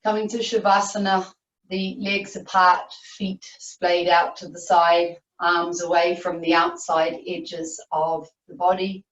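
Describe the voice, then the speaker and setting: A woman yoga teacher leading a guided meditation, giving soothing, unhurried instructions with long pauses. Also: fairly low pitch, level tone, a bit bright. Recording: roomy, distant, muffled video-call audio.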